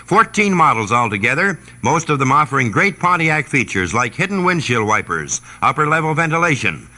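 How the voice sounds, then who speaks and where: A man delivering a 1960s radio car commercial as a conversational sales pitch. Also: very animated, brisk, sharp tone. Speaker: commercial announcer.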